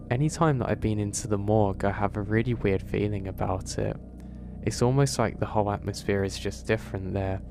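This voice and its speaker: A man narrating first-person horror stories in a steady, even storytelling read. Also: fairly low pitch. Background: background music.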